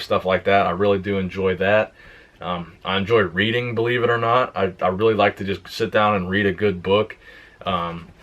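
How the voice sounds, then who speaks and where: Laid-back young man, slightly low voice. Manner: relaxed, plain-spoken, reads questions aloud then answers. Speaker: fitness YouTuber. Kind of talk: casual Q and A vlog.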